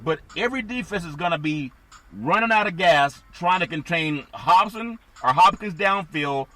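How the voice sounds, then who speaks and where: A man hosting sports talk with a bright, forceful edge to his voice. It sounds a touch scratchy and raspy today. He rambles through team after team and keeps asking his partner for his thoughts. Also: high-pitched, animated, normal speed. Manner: rambling, asks co-host for thoughts.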